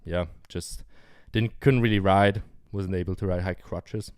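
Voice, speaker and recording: A young man hosts a casual podcast in a somewhat low voice. He sounds eager and slightly nervous, and the sound is dry and close. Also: steady tone, unhurried, smooth, dry recording. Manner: eager, nervous, laughs often. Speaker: podcast host.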